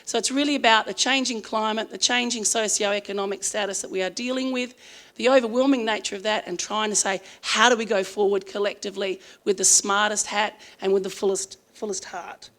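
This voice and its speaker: A woman's voice: mid-range voice, steady tone, brisk, fairly bright tone. A middle-aged Australian woman addresses a conference crowd in a chatty, earnest manner, with frequent ums along the way. Her voice, which sits in a middle register, sounds a little worn from a long sing-along the day before. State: strained voice from singing. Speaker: conference speaker. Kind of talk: conference presentation.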